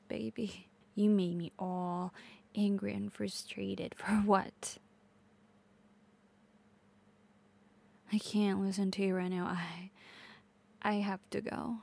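A woman's voice: a little high, a little mellow. A woman playing a possessive, volatile, manipulative girlfriend in an intense audio roleplay, addressing the listener directly. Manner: intense, swearing, swinging between pleading and menace.

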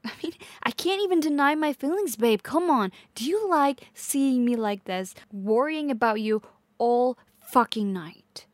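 A woman's voice: sits high, expressive, measured pace, no echo. A woman playing a possessive, volatile, manipulative girlfriend in an intense audio roleplay, addressing the listener directly. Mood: accusing, worried. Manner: intense, swearing, swinging between pleading and menace.

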